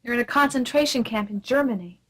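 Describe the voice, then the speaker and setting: A coolly deadly secret agent in a comic radio play acted by a woman. Her voice sits fairly high, and she gives orders briskly with a straight, matter-of-fact deadpan. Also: husky. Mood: urgent.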